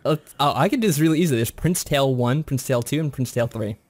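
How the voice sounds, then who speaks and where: A man's voice: mid-range voice, expressive, racing. A man chatting casually as a quiz contestant, wry and self-deprecating. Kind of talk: casual chat.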